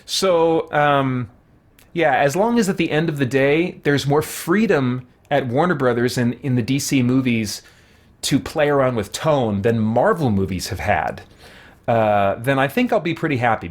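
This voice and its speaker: A man with a medium-pitched voice talks casually as he hosts a game playthrough. He rambles thoughtfully, often pausing to find his phrasing. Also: lively intonation. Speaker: host of a roleplay game playthrough. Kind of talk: casual, rambling gaming commentary.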